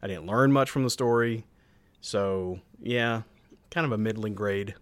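A man's voice: fairly low pitch, measured pace, fairly bright tone, no room sound. A man co-hosting a podcast in casual, conversational talk. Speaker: podcast co-host.

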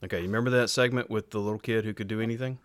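A man's voice: lowish voice, fairly even intonation, racing, no echo. A man co-hosting a podcast, casually debating a story in an emphatic, argumentative way. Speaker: podcast co-host.

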